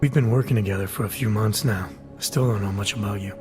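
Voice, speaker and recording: An actor playing a guarded, stoic male agent in a video game, speaking in a terse, deadpan way. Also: fairly low pitch, quick pace, music in the background, lightly acted. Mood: dry, guarded. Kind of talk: video game cutscene acting.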